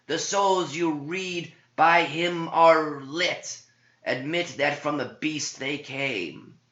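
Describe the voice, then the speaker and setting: A man's voice: fairly high pitch, creaky, bright, forceful tone, voice pushed up, heavily acted. A man voicing a mocking demon that speaks through a possessed man in a verse story, taunting and emphatic. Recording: dull, echoey room recording.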